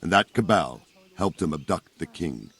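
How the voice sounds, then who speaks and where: Low-voiced seasoned medieval soldier. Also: male voice, lively intonation, moderate pace, fairly bright tone, slightly rough, heavily acted. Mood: grave, instructive. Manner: explanatory, patient with a younger man. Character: seasoned, plain-spoken male soldier captain in medieval Bohemia. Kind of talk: video game cutscene acting.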